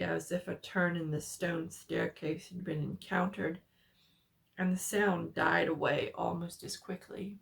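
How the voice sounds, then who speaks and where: A woman narrates a horror story at a very slow pace. Her voice is lowish and full of creak, and her delivery is solemn and deliberate. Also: fairly bright tone. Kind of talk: dramatic reading of a horror story.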